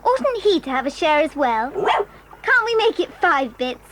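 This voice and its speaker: An actress voicing a gentle young girl cousin in an audio drama, talking in a soft, earnest way. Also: high-pitched, sing-song, unhurried, background noise. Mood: sweet. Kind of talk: 1980s audio drama acting.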